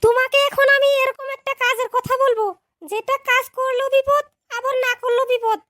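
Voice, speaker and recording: Cartoon teacher, bossy and scolding, very high and dry, voiced by a woman. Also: steady tone, a little fast, fairly bright tone, no echo. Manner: scolding, lecturing. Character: strict scolding female schoolteacher. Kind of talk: cartoon dub acting.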